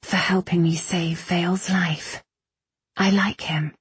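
A woman's voice: on the low side, steady tone, slow pace, slightly rough, full character voice. A woman narrator voicing a wounded, stubborn young woman who speaks bluntly and with weary, sardonic insistence. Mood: faint, spent.

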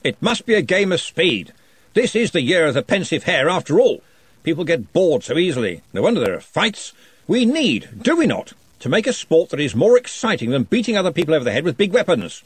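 A man voicing a loud, brusque old wizard chief in a storybook reading, bellowing and blustering. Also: a little high, very animated, a little fast, slightly rough, dry recording, voice pushed up.

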